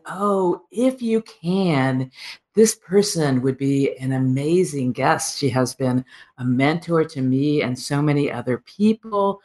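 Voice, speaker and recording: A woman hosting a radio interview show, chatty and warm, laughing often and thinking aloud. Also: sits low. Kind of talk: casual interview chat.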